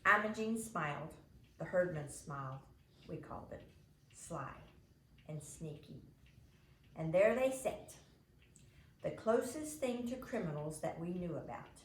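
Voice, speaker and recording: A woman reading a children's novel aloud in an expressive, animated way, dramatizing as she narrates. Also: on the low side, measured pace, long pauses. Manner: animated, dramatizing the characters' lines. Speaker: storybook reader. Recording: echoey room with faint background noise.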